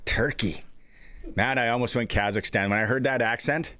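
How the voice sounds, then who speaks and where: A man's voice: medium pitch, normal speed, pushed and bright. A man hosting a sports radio show with casual, jokey banter and an informal manner. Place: radio studio, streamed.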